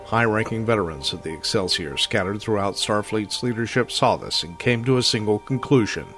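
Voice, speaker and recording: A man plays a solemn science fiction narrator with a low voice. He delivers scripted audio drama narration with dramatic weight, over clearly heard music. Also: a little fast, close to an everyday voice. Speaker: narrator, announcer. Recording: music behind the voice.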